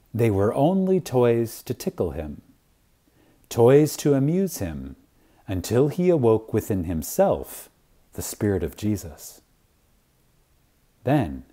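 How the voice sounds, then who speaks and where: A husky-voiced man gives a dramatic reading of a spiritual lecture. His pitch rises and falls widely, and he moves very slowly, leaving deliberate pauses and letting phrases fade softly at the ends. The delivery is reverent and full of emphasis.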